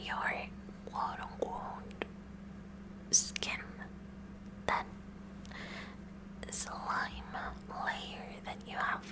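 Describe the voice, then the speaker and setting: Woman playing a whispering, kooky science club girl. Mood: earnest, eager. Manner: rambling, halting, nerdy, addressing the listener directly. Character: kooky eager female high school science club president. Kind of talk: whispered ASMR roleplay.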